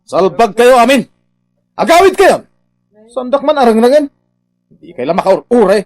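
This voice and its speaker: A man's voice: very high-pitched, sing-song, normal speed. A man acting a shifty, defensive Filipino contractor boss in a radio comedy drama, with a blustering manner. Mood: indignant. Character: shifty, defensive contractor boss dodging his workers' wages.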